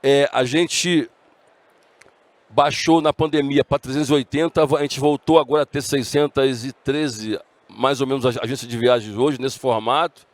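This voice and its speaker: A man's voice: medium pitch, fairly even intonation, moderate pace, no room sound. A middle-aged man with a Brazilian Portuguese accent giving a conference talk, earnest and often restarting his words. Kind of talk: conference speech. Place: conference stage.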